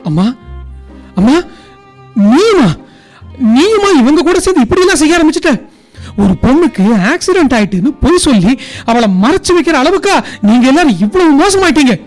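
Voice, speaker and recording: A man's voice: extremely high pitch, very animated, brisk, soft background music. An actor playing a melodramatic, lovelorn young man in a radio drama, pleading and repeating his words. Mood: indignant.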